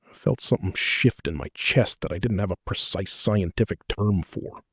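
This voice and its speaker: A man's voice: sits low, moderate pace, a bit bright, husky, no room sound, studio quality. A man with a Canadian accent reading a first-person story as scripted audiobook narration.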